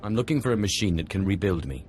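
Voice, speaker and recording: Very deep-voiced dark sorcerer. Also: male voice, very animated, fast, soft background music. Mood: controlled, resolute. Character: ambitious male dark sorcerer, curt and controlled. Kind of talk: dramatic video game acting.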